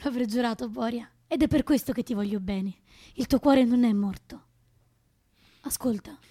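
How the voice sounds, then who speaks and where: Woman acting anxious female revolutionary, radio drama, high-ish voice, urgent, loyal. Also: moderate pace, warm tone, lightly acted. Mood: fearful, confiding.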